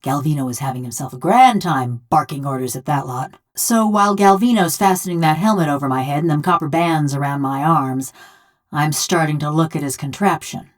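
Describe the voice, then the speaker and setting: Woman voicing a low-pitched, vengeful bronze construct woman. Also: brisk, lightly acted. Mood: somber, reflective. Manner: folksy rustic drawl, sardonic. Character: vengeful, wry bronze construct housing a murdered village woman's soul. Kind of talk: video game character dialogue.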